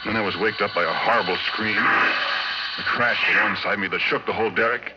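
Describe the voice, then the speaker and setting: An actor playing a rough, plain-spoken oil worker telling his horror story in a halting, folksy way, in 1940s radio style. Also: fairly low pitch, heavy background noise, close to an everyday voice. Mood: grim, shaken. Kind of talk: 1940s radio horror acting, first-person narration. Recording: muffled old radio recording, music underneath.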